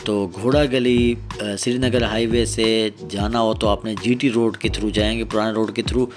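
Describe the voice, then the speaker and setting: A man's voice: on the low side, level tone, a little slow. Pakistani man narrating over music. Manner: explanatory, addresses viewers as friends. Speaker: travel vlogger. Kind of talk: casual travel vlog narration. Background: background music.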